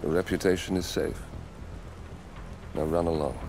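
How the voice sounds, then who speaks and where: An actor playing a cold, scheming shadowy operative in a video game, speaking in a measured, taunting, ominous way. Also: male voice, deep voice, steady tone, music in the background. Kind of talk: cinematic video game acting.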